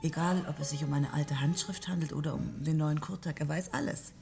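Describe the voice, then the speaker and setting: An actress playing a young wife, a patient yet evasive musician, in radio play dialogue. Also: female voice, sits low, fairly even intonation, brisk, gravelly, a little mellow. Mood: admiring. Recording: music behind the voice.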